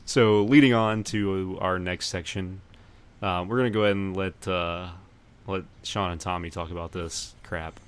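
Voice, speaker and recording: A man's voice: low-pitched, steady tone, moderate pace. A man hosting a podcast, chatting casually about films, with faint background noise. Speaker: podcast host.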